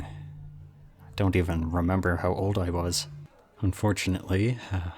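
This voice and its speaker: A man acting in a roleplay audio drama as a charming, slightly creepy young college student, chatty, self-deprecating and softly teasing. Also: low voice, close to an everyday voice. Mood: playful, charming.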